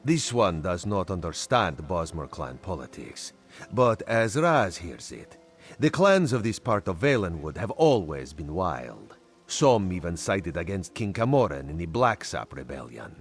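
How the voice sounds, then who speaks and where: An actor playing a sly, genial cat-folk agent in a video game, speaking in a measured, theatrical way. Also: male voice, low voice, very animated, soft background music, heavily acted. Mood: earnest, measured. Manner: speaks of himself in third person, measured and theatrical. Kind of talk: video game character dialogue.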